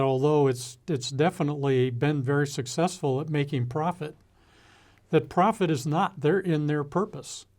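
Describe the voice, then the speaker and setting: An older American man giving a reflective interview, speaking in a measured, halting way with deliberate pauses. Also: on the high side, a little mellow. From United States. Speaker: interview guest, retired executive and former naval officer.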